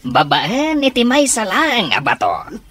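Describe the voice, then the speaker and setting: A woman's voice: on the high side, big pitch swings, measured pace, a bit bright, husky, no room sound, exaggerated voice. A woman acting as a scheming, commanding leader of petty car thieves in a radio comedy drama. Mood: sly, boastful.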